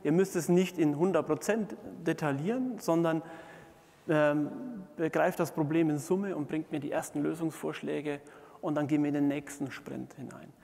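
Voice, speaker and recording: A middle-aged man delivers a conference keynote in a fairly high voice with plenty of vocal fry. He pauses often and feels his way through phrases. The sound carries the long reverb of a large hall. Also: fairly even intonation, dark tone. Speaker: keynote speaker, management consultant. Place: large indoor conference hall, on stage before seated audience.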